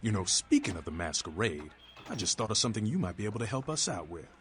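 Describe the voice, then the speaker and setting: A man's voice: on the low side, big pitch swings, fast, a little hiss or hum. A man voicing a blunt, streetwise vampire anarch and war veteran in a video game, talking in slangy, preaching fashion. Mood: wry, irritated. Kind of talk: video game character dialogue. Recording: background music under the voice.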